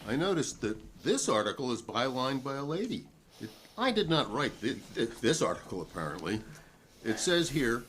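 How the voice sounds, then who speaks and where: A man's voice: medium pitch, a bit bright, slight background noise. A man playing a defiant, principled newspaperman who testifies formally and argumentatively at a 1958 hearing in a dramatic reading. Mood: dry, skeptical.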